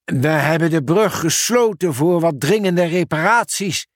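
A polite, apologetic bridge worker, as a male storyteller puts him on, with a lively, slightly raised voice. The recording is dry and close. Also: fairly high pitch, a little slow, dry recording, voice pushed up.

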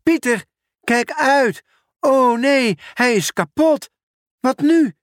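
A man reading a storybook aloud, voicing an excitable male sorting office manager who talks in a raised, exclaiming voice. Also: slow pace, dry recording, studio quality. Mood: alarmed. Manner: excited, high-pitched, exclaiming.

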